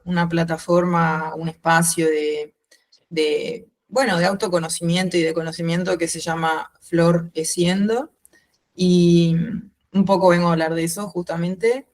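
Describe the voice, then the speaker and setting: A woman in her thirties with a mixed Uruguayan and Spanish accent, speaking reflectively and haltingly as a guest in an informal interview. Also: fairly low pitch, fairly even intonation. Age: 30s. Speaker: podcast guest. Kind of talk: informal interview, personal account.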